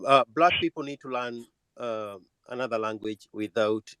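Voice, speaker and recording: A man's voice: medium pitch, moderate pace, fairly bright tone, clean tone, no room sound, low-quality recording. A man calling into a live talk room, speaking bluntly and cutting in to argue his point. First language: not English. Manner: interrupting, blunt, argumentative. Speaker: caller. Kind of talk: live audio chat room debate.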